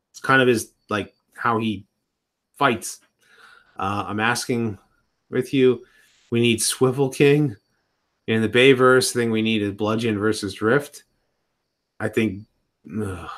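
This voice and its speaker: A man in his thirties streaming from home in relaxed, loose chat with viewers. He rambles along, trailing off and restarting sentences as he goes. His pitch sits in an ordinary middle range. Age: 30s. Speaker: live streamer. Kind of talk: casual live-stream chat.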